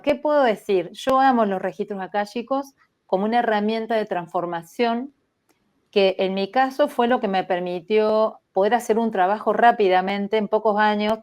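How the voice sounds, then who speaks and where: A woman, at a middle pitch for a woman. She tells her story as an interview guest, reflective and measured, and grows more animated when she quotes her own thoughts. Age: 60s. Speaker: spiritual therapist guest.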